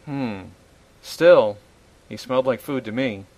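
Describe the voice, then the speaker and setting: A man's voice: medium pitch, slow, darkish tone. A man voicing a giant talking crow statue with an offhand, matter-of-fact manner.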